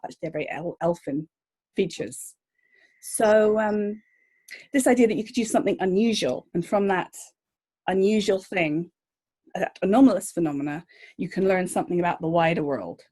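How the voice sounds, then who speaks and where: Woman, husky, low-pitched, enthusiastic, rambling. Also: a little mellow. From Northern Ireland. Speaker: guest journalist. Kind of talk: casual interview chat.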